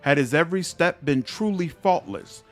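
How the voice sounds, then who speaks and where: A man narrating fantasy game epilogues in a measured, expressive storybook style. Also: on the high side, lightly acted. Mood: solemn storytelling. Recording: music under the voice.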